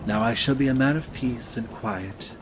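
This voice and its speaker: An actor playing a suave, languid gentleman swordsman in a dramatized audiobook, speaking in a courtly, formal way. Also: mid-range voice, normal speed, background noise, natural voice. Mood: languid, wistful. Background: ambient sea sound bed.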